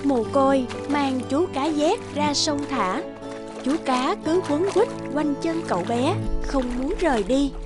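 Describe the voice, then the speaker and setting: High-pitched woman narrating a fairy tale. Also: measured pace, music in the background.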